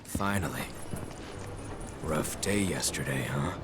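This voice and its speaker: An actor voicing a stoic, dignified talking beast in a video game, formal and measured, with music behind him. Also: male voice, very deep voice. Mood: solemn, dignified. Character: stoic, dignified male talking beast, former lab captive. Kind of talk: scripted video game dialogue. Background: loud game music.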